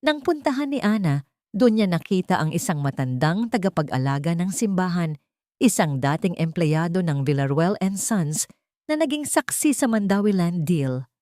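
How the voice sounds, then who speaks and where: A woman's voice: fairly low pitch, big pitch swings, moderate pace, warm tone, no room sound, clean studio sound. A woman reading scripted dramatic story narration in an earnest, sentimental manner. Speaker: narrator and host of a storytelling channel.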